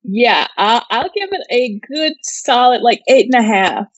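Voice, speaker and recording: A woman's voice: a little high, expressive, a little slow, no room sound, dull audio. Casual woman co-host, dry close sound. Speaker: co-host of a pop culture live show. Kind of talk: casual chat.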